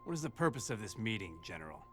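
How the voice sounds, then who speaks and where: A man's voice: on the low side, big pitch swings, fast, music in the background. A man playing a proud, defiant general in a game cutscene, speaking in firm, terse, plain words. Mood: defiant, stern. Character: proud, defiant American lieutenant general, honorable and gruff. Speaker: army general. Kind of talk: scripted game cutscene drama.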